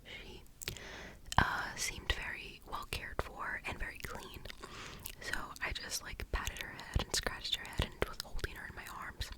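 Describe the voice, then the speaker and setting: A breathy, unvoiced whisper telling a story for ASMR, close and hushed the whole way through. The speaker rambles, fills gaps with "like," and gets tongue-tied, restarting phrases again and again. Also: measured pace, low-quality recording. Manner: rambling, heavy use of "like". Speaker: ASMR creator.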